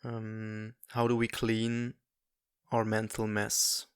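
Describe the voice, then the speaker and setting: Swiss non-native podcast host, young man, slow and monotone, lots of hesitations, dry close sound. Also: fairly low pitch, clean tone, dry recording. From Switzerland. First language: not English. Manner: halting, many fillers and restarts. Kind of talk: casual solo monologue.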